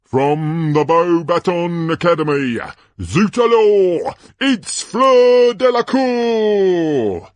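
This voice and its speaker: A man voicing a flamboyant, gushing tournament announcer in a storybook reading, with booming, drawn-out delivery. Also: big pitch swings, no echo. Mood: gushing, excited. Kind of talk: stage-play sports commentary read aloud.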